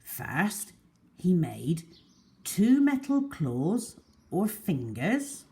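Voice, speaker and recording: A woman storyteller reading a children's story aloud in an expressive, deliberate and emphatic way. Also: on the low side.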